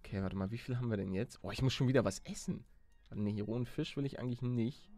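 A man hosting a Let's Play in lively casual banter, playful and excitable, often stammering and repeating words. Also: medium pitch, fast, dry recording, soft background music.

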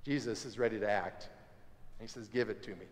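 A man in his forties preaching a sermon as pastor, conversational and animated, standing by the altar of a church sanctuary. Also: lowish voice, a little fast. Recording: long church reverb.

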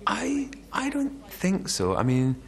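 A man's voice: sits high, wildly swooping pitch, slow, creaky. A man in his forties giving conversational commentary as an interview guest.

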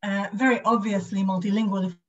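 A woman's voice: medium pitch, steady tone, brisk. A woman with a British accent giving an academic lecture over a video call. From United Kingdom. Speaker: guest lecturer, speech and language pathologist. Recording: slightly dull video call audio.

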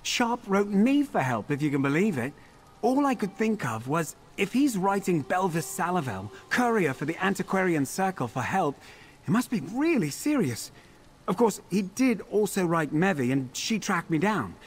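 High, swooping, vain male dandy. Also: very animated, normal speed. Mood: vain, droll. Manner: theatrical, self-regarding, droll. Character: vain, flamboyant, witty fallen dandy of a man. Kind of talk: video game voice acting.

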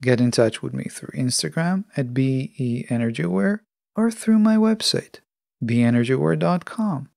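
A podcast host giving a scripted solo talk in a measured, instructive manner. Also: medium pitch, lively intonation, vocal fry, a little mellow, no room sound, studio quality.

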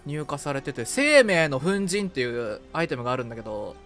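A man chatting casually as a game streamer with a co-player, bantering and quick to exclaim at what happens. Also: mid-range voice, sing-song, a bit bright. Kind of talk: casual gaming chat with a co-player. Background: game music.

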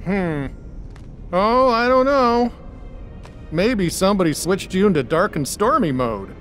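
Casting: plucky male toy cowboy for a cartoon game, high, unhurried, defiant, music behind. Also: animated, fairly bright tone. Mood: relieved teasing. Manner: earnest, defiant. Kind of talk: animated video game acting.

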